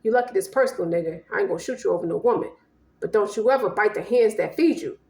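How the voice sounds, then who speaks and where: A woman's voice: medium pitch, fast talker, gravelly, mellow, natural voice. Suspicious, blunt American boyfriend, played by a woman. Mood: cold, warning. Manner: blunt, accusing, profane. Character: proud, suspicious ex-Marine boyfriend. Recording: echoey room.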